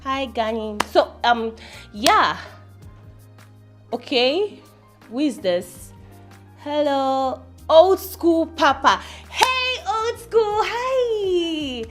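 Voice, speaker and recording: High, animated young live-streamer. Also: female voice, extremely slow, long pauses, soft background music, full character voice. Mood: bubbly. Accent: Nigerian. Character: bubbly, quarrelsome young live-streamer sister. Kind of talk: naturalistic screen drama acting.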